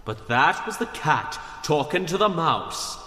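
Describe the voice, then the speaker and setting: A man's voice: a little high, sing-song, measured pace, bright, forceful tone, full character voice. A man playing a rousing, theatrical Irish working-class rebel orator in a radio drama. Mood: wry. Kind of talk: radio drama political speech. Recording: echoey hall with long reverb.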